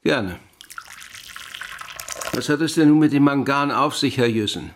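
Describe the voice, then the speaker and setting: A man acts a polite, gloomy companion with a medium-pitched voice. He is wry and inquisitive, talking in a radio drama. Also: unhurried, background noise, close to an everyday voice. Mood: wry, curious. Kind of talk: German radio play dialogue.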